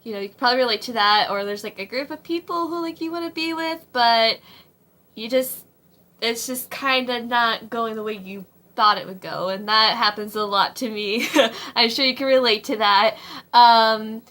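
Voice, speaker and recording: Chatty young woman, slightly hoarse. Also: fairly high pitch, moderate pace, bright, forceful tone. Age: 20s. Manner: rambling, full of ums and likes, frequent laughs. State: slightly hoarse, losing her voice. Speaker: vlogger. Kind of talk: casual faith-based advice vlog. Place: home kitchen.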